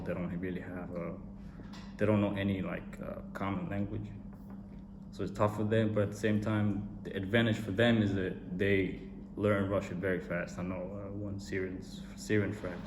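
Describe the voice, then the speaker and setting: Low, flat-voiced young man. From Korea. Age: young adult. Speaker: interviewed student. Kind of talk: calm interview answers. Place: indoor studio room with a cartoon backdrop. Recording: echoey room with noisy background.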